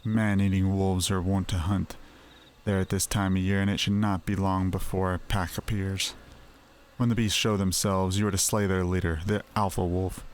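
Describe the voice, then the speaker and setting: A man's voice: low voice, little pitch movement, normal speed, slight background noise, close to an everyday voice. An actor playing an imperious, manipulative man who sets trials, speaking in formal, archaic phrasing in a video game. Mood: commanding. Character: imperious male quest-giver, testing and manipulative.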